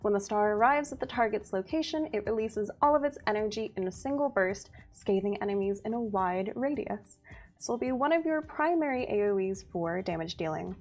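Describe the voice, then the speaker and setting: A woman's voice: medium pitch, expressive, a little fast, a little mellow, soft background music. A woman, a community manager, presenting game update details on a livestream in an upbeat, giggly manner. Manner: upbeat, giggly, reads skill details steadily.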